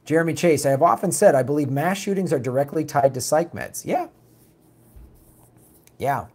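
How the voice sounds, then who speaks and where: A raspy man hosting a political talk show, reading viewer comments and answering them bluntly in a casual, animated way. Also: fairly high pitch, normal speed. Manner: blunt, animated, mocks opponents with put-on quotes.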